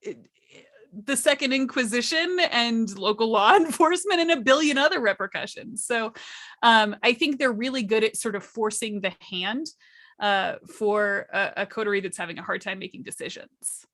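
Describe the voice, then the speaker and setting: A woman on a casual gaming panel, speaking expressively and thoughtfully, with pauses to find her words. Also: on the high side, a bit bright.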